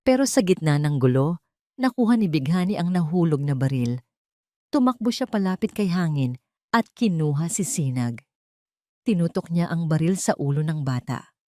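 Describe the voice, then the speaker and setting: A female storyteller with a low voice delivers scripted drama narration. She builds suspense dramatically, and the recording is dry with no room sound. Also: expressive, normal speed, mellow, no echo.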